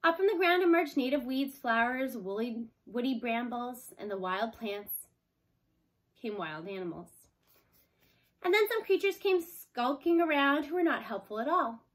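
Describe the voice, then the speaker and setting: Higher-pitched woman reading a children's book. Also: expressive, a little slow. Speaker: storybook reader. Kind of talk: children's book read aloud, scripted narration.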